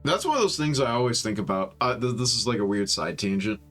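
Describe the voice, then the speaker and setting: A man's voice: mid-range voice, animated, fast, fairly bright tone, soft background music. A man hosting a storytime game playthrough, reading narration aloud and chatting casually. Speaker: host reading a visual novel aloud.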